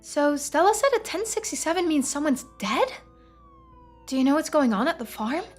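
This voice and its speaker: A woman's voice: sits high, very animated, normal speed, faint music behind, voice pushed up. A woman voicing an inquisitive, cheeky young game character, probing and casual. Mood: curious, cheeky.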